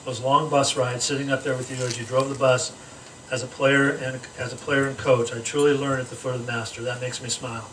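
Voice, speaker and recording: A man's voice: medium pitch, little pitch movement, brisk, fairly bright tone. Man reading a roast in an echoey room. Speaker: speaker reading a roast tribute aloud. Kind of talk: comedic roast speech read aloud to a gathering. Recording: echoey room, distant mic, noisy background.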